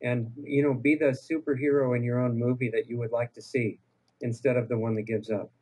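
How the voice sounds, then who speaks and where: A man's voice: medium pitch, flat delivery, a little fast, dark tone, slightly rough, low-quality recording. A man speaking as a guest on a call-in radio show, earnest and encouraging, often restarting his sentences. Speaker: guest health researcher.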